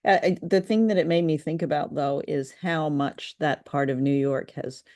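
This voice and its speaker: Enthusiastic woman with a mellow, creaky voice. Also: low-pitched, fairly even intonation, moderate pace. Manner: enthusiastic, gushing. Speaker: podcast co-host reviewing old radio plays. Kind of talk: casual conversational review.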